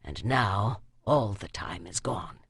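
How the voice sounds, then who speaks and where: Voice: low-pitched, takes it slowly, gravelly, fairly bright tone, slightly rough, dry recording, studio quality, voice pushed down, full character voice. Grim doomed warrior, as a narrator voices him. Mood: grim, resigned whisper. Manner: grave, resolute. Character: grim, doomed male warrior defending a citadel. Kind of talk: audiobook character dialogue.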